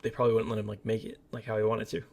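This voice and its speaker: A man's voice: on the low side, fast talker, vocal fry. A man co-hosting a casual movie and game podcast, opinionated and emphatic, repeating words for stress. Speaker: podcast co-host.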